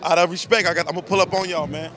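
A man's voice: high voice, fairly even intonation, a crowd in the background. A man with a Bay Area accent, a rapper guest speaking in a preachy way outdoors on a handheld mic. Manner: preachy, rapid, fills with "you know what I mean". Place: outdoor festival.

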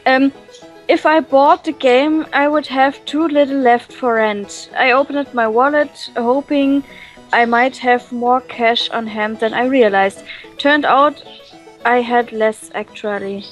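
A woman who is a native German speaker, reading visual novel text aloud and translating and commenting casually, with halting pauses to search for words. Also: on the high side, fairly even intonation, rough recording. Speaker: let's play co-host. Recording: music playing behind the voice.

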